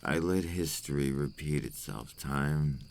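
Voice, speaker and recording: A man playing a brooding, remorseful male vampire in an intimate ASMR roleplay, speaking in a deep, gravelly voice with slow, formal phrasing. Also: darkish tone, slight background noise, exaggerated voice. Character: remorseful adult male vampire, brooding and tormented by his curse.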